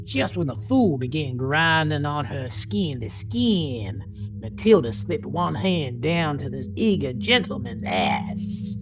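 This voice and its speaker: A woman with a very deep voice reads dramatic horror narration at an unhurried pace. Her delivery is theatrical and swoops between hushed lows and higher peaks, with music clearly behind her. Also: rough recording. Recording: music bed under the narration.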